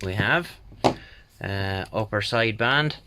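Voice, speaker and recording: An Irish man talking casually through a repair job, thinking aloud in an unhurried, colloquial way. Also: lowish voice. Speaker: radio repair technician. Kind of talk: casual spoken bench walkthrough. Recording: steady background noise, bench clicks.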